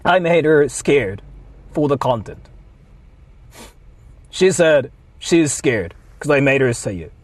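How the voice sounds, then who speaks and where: A man livestreaming a mock apology to his viewers, speaking in deliberate, halting short phrases. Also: mid-range voice, animated, no room sound.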